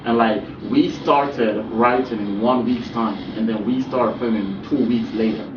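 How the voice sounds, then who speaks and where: A man's voice: medium pitch, a little slow. Young Jamaican man, laid-back talk. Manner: relaxed, peppered with "you know what I'm saying". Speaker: guest actor. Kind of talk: casual interview chat. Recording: distant roomy muffled recording, noisy.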